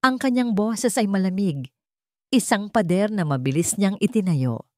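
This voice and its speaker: A woman reading a scripted love story as narrator, solemn and measured, with dramatic pauses. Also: medium pitch, mellow, dry recording, clean studio sound.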